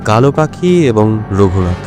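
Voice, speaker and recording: A male actor playing a shrewd, self-assured detective in an audio drama, speaking in a measured, explanatory way. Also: on the low side, big pitch swings, over music. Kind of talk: Bengali audio drama dialogue.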